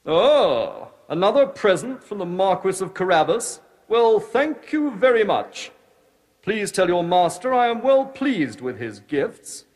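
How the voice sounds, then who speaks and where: A man playing a jovial, excitable, gracious king in a children's cartoon, exclamatory and grandly polite. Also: high voice, measured pace, full character voice. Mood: delighted. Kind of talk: dubbed children's cartoon acting.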